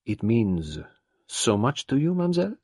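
A man voicing a gentle, grave older male detective who speaks quietly and carefully. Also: mid-range voice, very animated, normal speed, breathy edge, no echo, studio quality, pitched above the performer's own voice.

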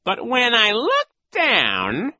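A sly, cruel male coach from a children's storybook acted out by a woman. He speaks high and slowly, stretching words theatrically with smug false regret, his pitch sweeping up and down. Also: wildly swooping pitch, bright, forceful tone, dry recording, exaggerated voice. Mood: dramatic, aggrieved.

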